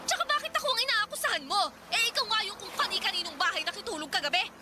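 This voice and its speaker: A woman's voice: very high-pitched, animated, very fast, background noise. A woman playing a jealous, bitter young mother in a Filipino radio drama, confrontational and accusing, with a Filipino accent. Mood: defiant, scornful.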